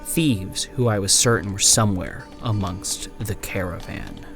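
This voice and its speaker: A man playing an amnesiac old-time detective, narrating a grim first-person account in a horror audio drama in a measured, solemn way. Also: deep voice, lively intonation, creaky, fairly bright tone, faint music behind, exaggerated voice. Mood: haunted, solemn. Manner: measured, solemn, literary delivery. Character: amnesiac former Pinkerton detective writing a grim final account.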